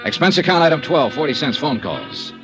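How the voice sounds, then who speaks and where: An actor playing a tough, wry insurance investigator in a 1950s radio drama, clipped and probing. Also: male voice, a little high, big pitch swings, brisk, over music. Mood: brisk narrating. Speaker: lead actor and narrator.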